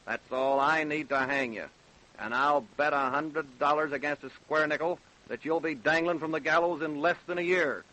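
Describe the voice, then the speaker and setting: A formal, authoritative man in a vintage radio crime play. His voice is bright and forceful, a little high, and declaims each phrase. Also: fairly even intonation, moderate pace, rough recording. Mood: stern. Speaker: announcer and dramatic actor. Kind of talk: old-time radio drama acting and announcing.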